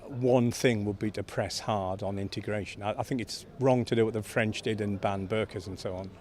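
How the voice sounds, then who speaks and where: A man with a fairly low voice answers an interviewer as a member of the public. His delivery is casual and opinionated, like someone stopped for his view. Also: steady tone, moderate pace, a little mellow.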